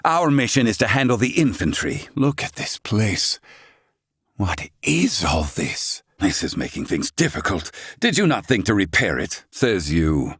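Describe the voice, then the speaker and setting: Pompous, low-voiced fantasy scholar. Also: male voice, sing-song, unhurried, fairly bright tone, breathy edge, dry recording, studio quality, exaggerated voice. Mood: grumbling. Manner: formal archaic diction, pompous, exacting. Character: proud, curt, bookish fantasy scholar-healer man, easily excited. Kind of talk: video game character dialogue.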